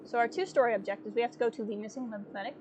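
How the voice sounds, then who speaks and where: A woman streaming a video game, chatty and a little sniffly, thinking out loud with fillers as she plays. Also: on the high side, rapid-fire, muffled recording, noisy background. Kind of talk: casual gameplay commentary. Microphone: desk mic with webcam.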